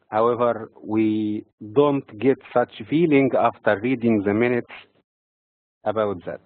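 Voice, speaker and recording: A man from Egypt with an Egyptian accent reads prepared financial market commentary in a measured, halting way, breaking phrases mid-sentence. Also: medium pitch, dry recording. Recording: tinny, phone-like narrow-band audio.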